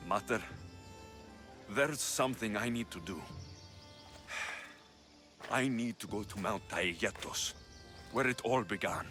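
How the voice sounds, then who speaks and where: A man's voice: on the low side, measured pace, pauses often, natural voice. A man voicing a vengeful, battle-weary ancient Greek warrior and grieving son in a dramatic video game, with grave, intense delivery. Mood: somber, weary. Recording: music under the dialogue.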